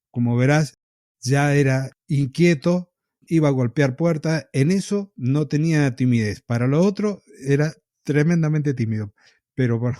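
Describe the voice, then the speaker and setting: A man from Argentina with an Argentine accent, a podcast host telling his own story in an unhurried, reflective way. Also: mid-range voice, no echo, clean studio sound. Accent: Argentine Spanish. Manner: reflective, unhurried, with pauses and soft laughs. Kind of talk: casual storytelling in an interview.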